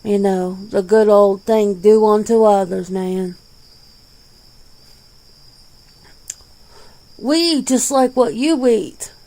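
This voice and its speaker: Casual werewolf interviewee for ASMR roleplay, female performer: dark, relaxed tone, gravelly edge, plain-spoken and folksy. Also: mid-range voice, a little slow, natural voice. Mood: easygoing, earnest. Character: laid-back, plain-spoken werewolf being interviewed, peace-minded.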